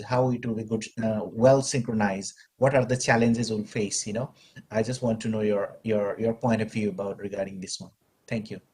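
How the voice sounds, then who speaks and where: A man's voice: mid-range voice, darkish tone, husky. A man from Nepal, asking a rambling question in a webinar, full of fillers and "you know".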